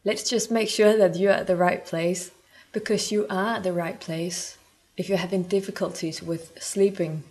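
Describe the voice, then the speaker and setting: A woman's voice: on the low side, fairly even intonation, moderate pace, darkish tone. A woman from Denmark with a Danish accent, speaking English as a second language and talking earnestly to camera as a spiritual coach, sometimes searching for words.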